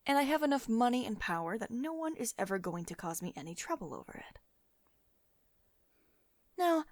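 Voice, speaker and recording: Woman acting a low-voiced, commanding lady CEO. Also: no echo, studio quality. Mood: smug, assured. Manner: measured, condescending pet names, drops into breathy whispers. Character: commanding billionaire woman CEO, possessive, coolly coaxing, teasing. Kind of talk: ASMR roleplay, intimate monologue to one listener.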